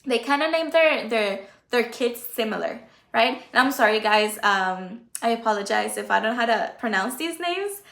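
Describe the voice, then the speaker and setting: A woman's voice: a little high, lively intonation, normal speed, creaky, fairly bright tone. A woman whose first language is Spanish, hosting a casual Bible study livestream, chatty and correcting herself as she reads. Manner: chatty, self-correcting, stumbles over names. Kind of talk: casual Bible reading and commentary. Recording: echoey room.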